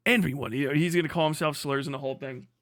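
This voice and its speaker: A man's voice: a little high, steady tone, rapid-fire, a bit bright, no echo, studio quality. A man hosting a livestream, delivering a profane, mocking commentary rant and laughing often. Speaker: livestream host.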